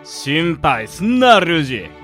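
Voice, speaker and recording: A man playing a gruff, easygoing middle-aged gangster boss with a rough, drawling way of talking in an amateur radio drama. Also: a little high, big pitch swings, measured pace, a bit bright, soft background music. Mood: boastful, sheepish.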